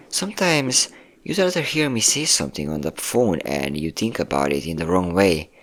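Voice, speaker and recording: A man's voice: sits low, fairly bright tone, exaggerated voice. A man playing a possessive, manipulative ex-boyfriend in a dramatic ASMR roleplay, coaxing with pet names and sweet-talking menace. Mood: coaxing, controlling. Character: possessive, manipulative yandere ex-boyfriend hunting his hidden partner.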